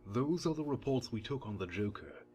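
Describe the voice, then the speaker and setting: A man's voice: medium pitch, lively intonation, moderate pace, lo-fi sound, music in the background, close to an everyday voice. A man playing a businesslike, deferential morgue coroner, formal and explanatory, in an amateur audio drama. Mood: formal, grave. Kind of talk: fan audio drama acting.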